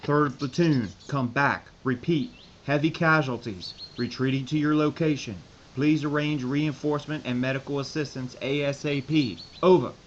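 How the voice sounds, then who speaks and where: An actor playing a stubborn, embattled army major in an audio drama, barking orders and radio procedure. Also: male voice, mid-range voice, fairly even intonation, normal speed, close to an everyday voice. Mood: urgent. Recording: muffled, lo-fi, with background noise.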